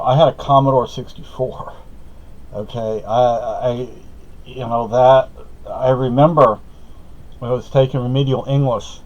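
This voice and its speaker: A man's voice: mid-range voice, darkish tone. A middle-aged man from Spain, a native Spanish speaker, telling a meandering personal story with many fillers and self-corrections. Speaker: vlogger. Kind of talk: rambling personal monologue. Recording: slightly dull lo-fi audio.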